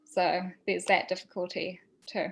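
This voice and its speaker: A woman from New Zealand, a seminar presenter answering questions thoughtfully on a video call, with light laughs and many pauses. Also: on the low side, flat delivery, gravelly, poor audio. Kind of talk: academic Q&A discussion.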